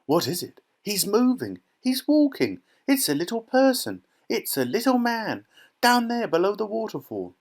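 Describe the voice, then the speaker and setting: A man voicing a shrill, excitable young girl in a children's storybook. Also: normal speed, heavily acted. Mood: frantic excitement.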